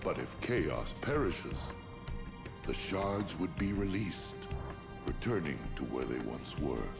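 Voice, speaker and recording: An actor playing a solemn, brooding sorcerer and older brother in a video game, speaking formally and gravely over music. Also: male voice, deep voice, very animated, slow, exaggerated voice. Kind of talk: theatrical video game cutscene acting.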